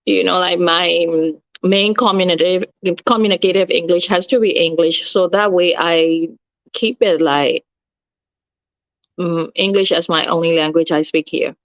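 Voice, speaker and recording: A woman's voice: lowish voice, steady tone, measured pace, dry recording. A woman, chatting as an interview guest and searching for words with many fillers. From Myanmar. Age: 30s. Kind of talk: casual interview chat. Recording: dull, slightly lo-fi sound.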